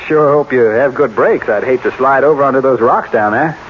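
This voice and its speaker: An amiable, unsuspecting architect , played by a male actor in a vintage radio play. He talks in a breezy, colloquial way at a middling pitch. The sound is muffled, with faint noise. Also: fairly quick, lightly acted. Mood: uneasy. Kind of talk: old-time radio drama acting. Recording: muffled, noisy old radio recording.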